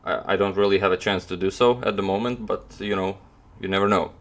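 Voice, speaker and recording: A man's voice: low-pitched, steady tone, fast talker. A young man with a Croatian accent, chatting loosely with viewers on a live stream, hesitant with many fillers. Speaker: chess streamer answering chat. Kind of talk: casual live-stream chat.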